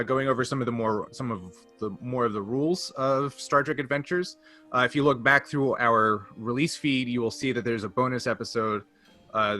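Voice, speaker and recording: A man's voice: mid-range voice, pushed and bright. A man hosting a casual podcast discussion, explaining things in a loose, hedging way. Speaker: podcast host.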